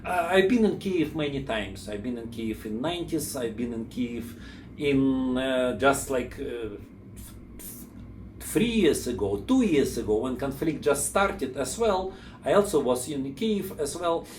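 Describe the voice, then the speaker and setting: A man's voice: mid-range voice, sing-song, unhurried, a little mellow. Man rambling in an echoey room. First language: Russian. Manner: rambling, many fillers and restarts. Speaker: channel host. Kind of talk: informal explainer talk. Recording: echoey room, faint background hum.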